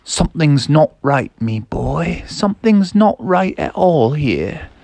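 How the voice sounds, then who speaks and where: A woman plays a father frog in a storybook tale. He talks in folksy, drawn-out phrases that swing widely in pitch. He is a cautious parent who is easily flustered. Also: animated, gravelly, mellow, no echo, full character voice. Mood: ominous, wary.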